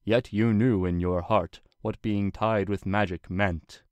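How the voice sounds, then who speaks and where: Casting: male reader as ancient divine stallion king for story drama; low, measured, formal, patient and reassuring. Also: fairly even intonation, a little mellow, clean tone, no echo, dull audio, lightly acted. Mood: gentle, solemn. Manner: slow, deliberate, formal, reassuring. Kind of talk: dramatic fan fiction reading.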